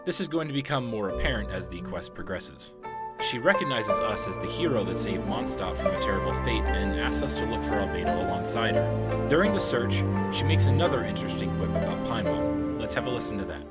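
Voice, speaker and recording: A man reads scripted game lore narration in a fairly low voice. Music plays clearly behind him throughout. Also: animated, brisk. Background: background music.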